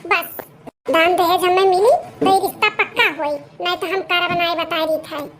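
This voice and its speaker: A woman voicing a greedy, domineering village mother-in-law in a cartoon, shrill and scolding, with an Awadhi accent. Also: steady tone, moderate pace, noisy background. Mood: demanding, stubborn. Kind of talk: cartoon voice acting.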